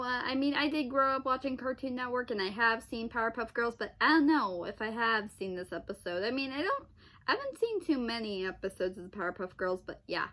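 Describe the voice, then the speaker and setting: A woman's voice: fairly high pitch, sharp tone. A young woman giving casual, off-the-cuff video commentary in a rambling, hesitant way, in broken phrases with exclamations. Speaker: video host.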